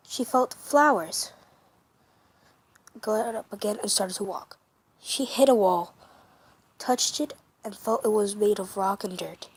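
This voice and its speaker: A woman narrating a fan story for her first amateur comic dub, halting with many restarts and self-corrections. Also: medium pitch, slightly rough. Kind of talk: reading a fan story aloud.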